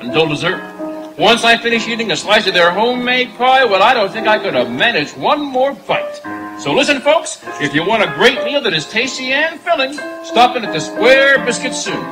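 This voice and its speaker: Man playing a high-voiced, lively radio pitchman. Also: normal speed, rough recording. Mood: enthusiastic. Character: folksy, enthusiastic radio sponsor's pitchman. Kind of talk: old-time radio ad read. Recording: live outdoor recording with music behind. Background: live instrumental music.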